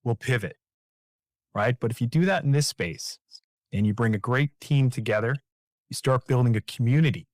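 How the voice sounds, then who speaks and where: A man's voice: medium pitch, moderate pace, dry recording, studio quality. A man hosting a fireside chat podcast, affirming his guest and building long framing questions. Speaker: podcast host.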